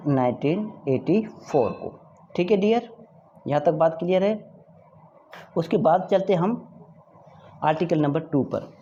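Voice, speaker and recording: A man from Pakistan giving an exam prep law lecture. He repeats key points, mixes in English legal phrases and keeps asking if it is clear. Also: fairly high pitch, mellow, highs cut off. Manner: repeats points and checks understanding with "is it clear, dear?".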